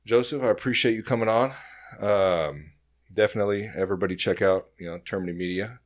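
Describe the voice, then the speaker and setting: A man's voice: lowish voice, brisk. A man hosting a casual podcast chat, speaking in an informal, encouraging way. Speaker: podcast host.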